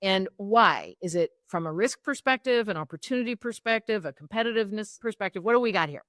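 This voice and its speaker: A woman's voice: mid-range voice, expressive, brisk, a bit bright, no echo. Reflective woman guest, dry close mic. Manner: reflective, explains step by step. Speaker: guest, sustainability consultant. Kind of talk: conversational interview answer.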